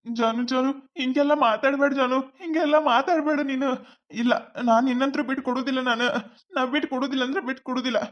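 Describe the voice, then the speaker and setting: A woman's voice: sits high, steady tone, fast, dull audio, natural voice. A woman playing a devoted young boyfriend. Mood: insistent. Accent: North Karnataka Kannada. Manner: coaxing, reassuring.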